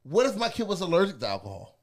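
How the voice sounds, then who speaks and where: A man's voice: high-pitched, fast talker. A man co-hosting a podcast, chatting casually, swearing a lot and laughing often. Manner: animated, profane, laughs often. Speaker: podcast co-host. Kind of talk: casual chat.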